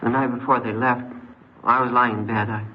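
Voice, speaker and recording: An actor playing a troubled, neglected fourteen-year-old boy who speaks haltingly, in the style of old radio crime drama. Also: mid-range voice, level tone, close to an everyday voice. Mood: wistful, confiding. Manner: hesitant, halting. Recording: muffled, noisy old radio recording.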